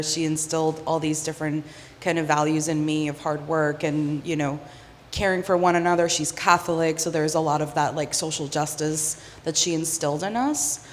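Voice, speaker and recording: A woman's voice: sits low, in a large hall, a little hiss or hum. A woman from the Dominican Republic, a guest on a radio interview, talking earnestly with many "um"s. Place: community radio studio.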